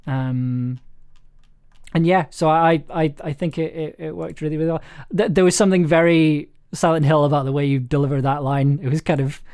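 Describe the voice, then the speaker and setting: A man's voice: on the high side, fairly even intonation, normal speed, darkish tone, smooth. A man hosting a casual script session, giving encouraging notes while searching for his words. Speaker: host and scriptwriter.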